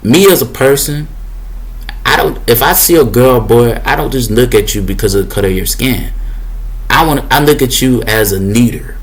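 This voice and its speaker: A young man with a deep voice talks straight to his listeners in a loose, preachy monologue. He circles back on his own phrases and leans on slang fillers as he goes. His pitch sits in an ordinary middle range for a man. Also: moderate pace. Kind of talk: rambling motivational monologue.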